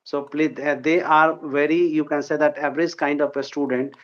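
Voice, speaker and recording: Through dull, lo-fi audio, a man with an Indian accent moderates a live session. His voice is slightly higher than average for a man as he relays questions to a guest. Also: flat delivery, normal speed. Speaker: session host.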